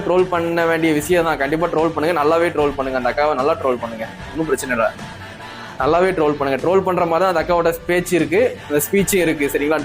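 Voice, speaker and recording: A man giving casual, conversational commentary, circling back over points and often adding tag questions. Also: fairly high pitch. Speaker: online commentator.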